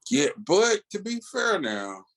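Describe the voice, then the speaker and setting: A man with an American accent chatting casually as a podcast co-host, telling things in a slangy, animated, halting way. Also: sits high. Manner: slangy, animated storytelling with many filler pauses. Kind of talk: casual chat.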